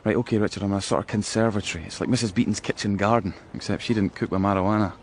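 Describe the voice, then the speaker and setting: A man's voice: lowish voice, steady tone, racing, slight background noise, close to an everyday voice. An actor playing a wry, urgent undercover policeman in a radio drama, talking colloquially. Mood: wry, furtive. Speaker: police officer.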